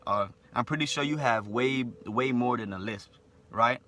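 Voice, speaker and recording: A man's voice: mid-range voice, normal speed, sharp tone. A young man with a lisp, delivering a blunt, opinionated monologue to camera and tagging "right?" onto nearly every point. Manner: blunt, repetitive, asks "right?" after nearly every line. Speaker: vlogger.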